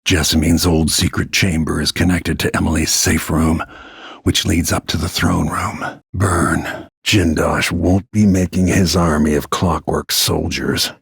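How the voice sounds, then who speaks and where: A weathered male bodyguard turned assassin for a video game. His voice sits low and is full of gravel, with a heavily husky grain. He speaks tersely, with grim, brooding restraint. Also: steady tone, a little slow, a bit bright, no room sound, studio quality, exaggerated voice. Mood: calm, stern.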